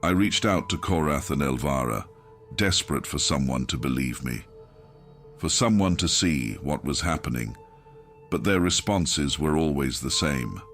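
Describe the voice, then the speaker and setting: Male narrator, extremely low pitch, grave and brooding, scripted horror audiobook over music. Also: lively intonation, a little slow, fairly bright tone. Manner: grave, brooding, dramatic first-person telling.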